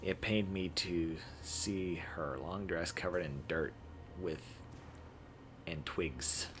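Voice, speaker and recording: Low-voiced man streaming a game playthrough. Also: fairly bright tone, slight background noise. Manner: halting read-aloud with stumbles and self-corrections. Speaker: streamer playing a visual novel. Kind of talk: live playthrough, reading game text aloud.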